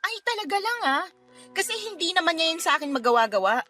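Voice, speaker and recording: A woman's voice: very high-pitched, sing-song, quick pace, bright, forceful tone, soft background music, heavily acted. A woman playing an indignant, defensive girlfriend in a Filipino radio drama, with emphatic, sarcastic retorts and a Filipino accent. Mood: sarcastic, hurt. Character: indignant girlfriend, defensive, sarcastic, hot-tempered older sister type.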